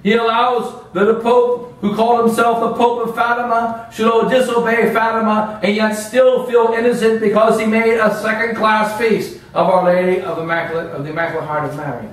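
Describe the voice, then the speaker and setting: High-voiced preaching man in echoey chapel. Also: steady tone. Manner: emphatic preaching with pauses and gestures. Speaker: priest. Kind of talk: sermon. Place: small home chapel, indoors. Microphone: far camera. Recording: echoey room, from far off.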